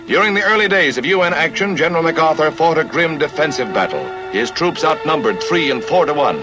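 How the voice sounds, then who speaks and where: An American man delivers a vintage 1950 newsreel narration over prominent music. His voice sits fairly high and moves with very animated intonation. The reading is scripted, grave and declamatory, with a strong sense of drama. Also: brisk, dull audio.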